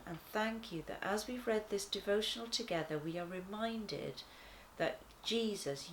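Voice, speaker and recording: A woman reading a children's devotional aloud in a deliberate, expressive manner. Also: mid-range voice, a little hiss or hum. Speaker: children's church story reader.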